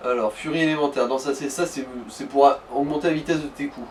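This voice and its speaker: A man's voice: mid-range voice, fairly even intonation, fast, darkish tone. A man giving casual gaming explanations to a player, piecing them together hesitantly as he goes. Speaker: advisor to the player in a let's play. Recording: distant mic, faint background noise.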